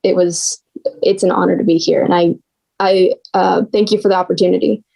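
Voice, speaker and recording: A woman's voice: on the low side, steady tone, brisk, creaky, warm tone. A fourteen-year-old girl from Oklahoma answering casually as an interviewed contest winner on a video call. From Oklahoma, United States. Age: 14, teenager.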